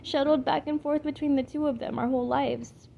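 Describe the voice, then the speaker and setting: A woman's voice: high voice, steady tone, brisk, dark tone, dull audio, pitched above the performer's own voice. A woman voicing a sullen, bitter teenage stepsister in a whining, complaining way, in a storybook reading. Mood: bitter, hurt. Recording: clearly noisy amateur home recording.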